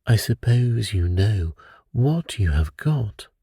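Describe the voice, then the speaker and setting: Composed Victorian gentleman detective read by a male narrator: assured, precise, mellow, lilting, storybook pacing. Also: on the low side, big pitch swings, slow pace, breathy edge, no room sound, studio quality, full character voice. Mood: assured, intrigued. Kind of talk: bedtime storybook reading.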